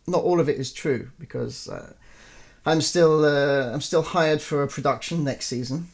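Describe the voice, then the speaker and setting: A man in his forties, a performer, talking through his decisions in an interview with many fillers and restarts. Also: mid-range voice. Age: 40s. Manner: relaxed, frequent fillers and restarts. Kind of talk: reflective conversational interview.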